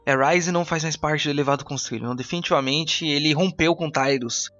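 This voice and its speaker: A man's voice: on the high side, fairly quick, a bit bright, dull audio, soft background music. A man with a Brazilian accent improvising narration as game master, conversational, often repeating himself as he searches for words.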